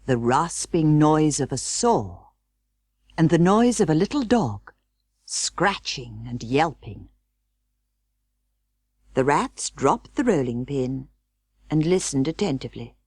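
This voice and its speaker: British female audiobook narrator, children's classic. Slow, measured, expressive, very dry close recording. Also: deep voice, slightly rough, dry recording. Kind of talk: scripted children's storybook narration.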